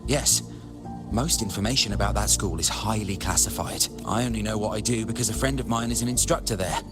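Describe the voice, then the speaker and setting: A man's voice: on the low side, brisk, music in the background, close to an everyday voice. A man playing a composed informant in a video game. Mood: serious.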